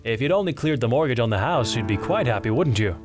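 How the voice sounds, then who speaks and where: A flippant young son as a man plays him in an audio drama. His voice sits raised and youthful, teasing and skeptical, over soft music. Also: medium pitch, animated, fast, voice pushed up, lightly acted.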